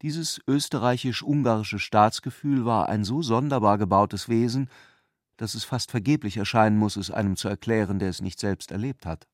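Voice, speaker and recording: A man narrating literary prose for a radio play in a measured, precise manner, recorded in a studio. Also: lowish voice, dry recording. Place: dry studio.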